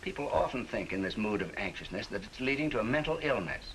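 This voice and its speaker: A man playing a calm, reassuring psychiatrist in a 1940s training film, explaining things in a measured way. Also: on the low side, slight background noise, low-quality recording.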